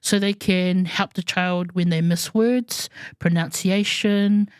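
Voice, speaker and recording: A woman's voice: mid-range voice, fairly even intonation, slow, clear, resonant tone, no room sound. Laughing chatty New woman podcaster. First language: Samoan. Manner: chatty, laughing, colloquial asides. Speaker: podcast co-host. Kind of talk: casual podcast chat.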